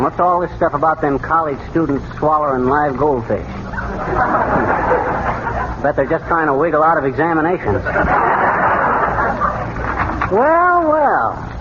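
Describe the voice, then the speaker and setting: An actor playing a blustery, good-natured, scheming small-town husband who wisecracks in folksy fashion in 1930s radio comedy. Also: male voice, on the high side, very animated, moderate pace. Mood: breezy. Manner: folksy, muttering to himself, wisecracking. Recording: very noisy, muffled 1930s radio broadcast.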